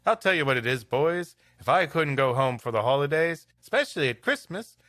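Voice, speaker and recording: A man's voice: fairly high pitch, sing-song, fairly quick, pushed and bright, no room sound. A man voicing earnest young schoolboys from an old English storybook tale.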